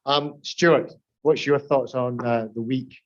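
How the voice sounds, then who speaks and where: A man's voice: medium pitch, steady tone, takes it slowly, highs cut off. A man with a Glaswegian Scottish accent, hosting a webinar panel in a casual, chatty way with loose restarts, heard over a video call. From Glasgow, Scotland. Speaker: webinar host.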